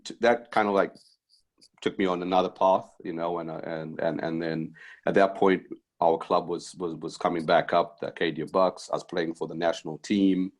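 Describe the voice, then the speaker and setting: A man from Zimbabwe with a very low, deep voice talks through his past in a loose, rambling way. He restarts sentences and fills gaps with "um" and "you know." He is a middle-aged basketball coach chatting as a podcast guest. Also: steady tone, moderate pace. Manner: rambling, many fillers and restarts. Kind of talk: casual interview chat.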